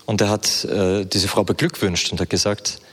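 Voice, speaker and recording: Low-voiced male interpreter in a hall. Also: steady tone, moderate pace, fairly bright tone, slightly rough. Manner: fluent, with frequent hesitation fillers. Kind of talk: consecutive interpreting of a sermon. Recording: reverberant hall.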